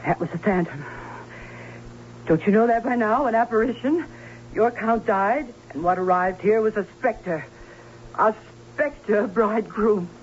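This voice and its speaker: An actress playing a prim, anxious, fussy middle-aged aunt who tells her story in an old radio drama, with dramatic emphasis and deliberate pauses. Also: medium pitch, noisy background, natural voice. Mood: grave. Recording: dull vintage radio recording, faint music.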